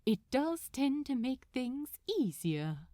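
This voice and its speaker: A woman's voice: high voice, sing-song, slow pace, mellow, no room sound, clean studio sound, pitched above the performer's own voice, exaggerated voice. A woman voicing a polite, flustered male angel, proper and hesitant, in a fan fiction reading. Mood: prim. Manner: hesitant, proper, precise wording.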